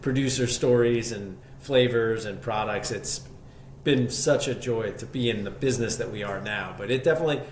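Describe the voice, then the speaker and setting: A man with a mid-pitched voice talks as an interview guest, reflective and enthusiastic. He tells his story conversationally. The room is clearly echoey, with faint noise behind him. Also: moderate pace. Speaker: podcast guest, shop co-founder.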